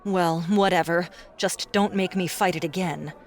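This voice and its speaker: A man's voice: sits high, fairly even intonation, a little slow, voice pushed up. Man doing a greedy young woman fighter, pushy, demanding, raised voice, audiobook. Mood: impatient.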